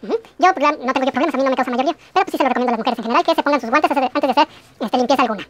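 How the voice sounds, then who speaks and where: A woman with a Mexican accent chatting rapidly from off-camera in a kitchen, caught by a phone microphone some distance away. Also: sits high.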